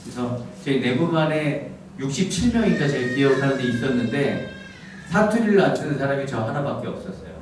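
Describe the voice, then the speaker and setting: A middle-aged man from the Gyeongsang region who speaks with a standard Seoul-area accent, preaching in a soft, humorous storytelling manner. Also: medium pitch, animated, a little fast, far from the mic. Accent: standard Seoul-area Korean. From Gyeongsang region, South Korea. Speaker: pastor. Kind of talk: lecture-style sermon. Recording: echoey hall miked from afar, background noise.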